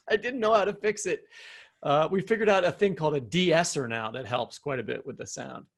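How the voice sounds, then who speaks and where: A man's voice: a little high, moderate pace, creaky. A man co-hosting a podcast in casual, informal, rambling conversation. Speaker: podcast co-host, novelist.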